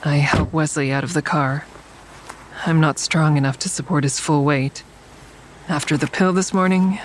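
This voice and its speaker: A woman's voice: low-pitched, a little hiss or hum, close to an everyday voice. An actress playing a weary, watchful woman who narrates her own story in an audio drama. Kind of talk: scripted first-person audio drama narration.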